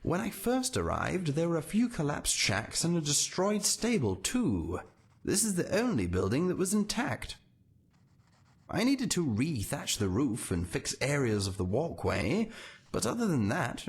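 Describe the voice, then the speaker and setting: A man's voice: a little high, huge pitch swings, measured pace, breathy edge, exaggerated voice. An actor playing a haughty, reclusive male painter who talks in a pompous, aloof manner. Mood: lecturing. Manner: pompous, aloof, dry disdain.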